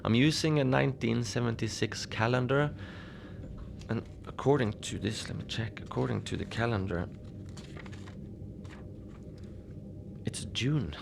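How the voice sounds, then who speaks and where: A man playing a mild, unbothered middle-aged station manager in a cozy audio drama, confiding in a halting, rambling, understated way. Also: mid-range voice, vocal fry, background noise. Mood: musing, mild. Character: mild, unbothered lone Antarctic night-shift station manager. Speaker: podcast fiction narrator, lone facility manager on radio.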